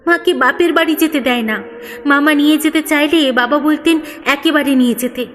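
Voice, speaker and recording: A woman's voice: high-pitched, normal speed, voice pushed up, close to an everyday voice. A woman storyteller voicing an aggrieved college-age young man, earnestly complaining and protective. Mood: hurt, resentful. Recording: long reverb, background music.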